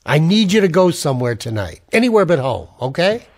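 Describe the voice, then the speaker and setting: A man's voice: fairly high pitch, sing-song, brisk. An actor playing a tense, defiant man narrating a noir sci-fi ordeal in old radio drama style, hard-boiled and urgent. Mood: urgent, pleading. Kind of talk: 1940s radio drama acting with first-person narration.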